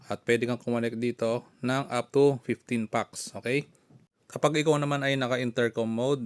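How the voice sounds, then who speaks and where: A man giving a casual, conversational product review, talking through each step as he demonstrates. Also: lowish voice. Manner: conversational, narrates actions step by step. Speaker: motorcycle gear reviewer and content creator. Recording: clear background noise, room ambience.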